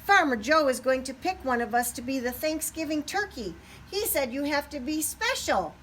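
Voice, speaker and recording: An earnest boy turkey, voiced by a woman, exclaims in a high, very animated storybook voice. Faint noise sits behind it. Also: normal speed. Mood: excited. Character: eager, earnest young male turkey.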